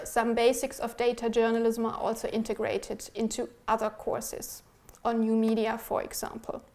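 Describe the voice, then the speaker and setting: Female presenter, fairly high voice, academic researcher delivering prepared slides in a measured, explanatory way. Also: a little mellow.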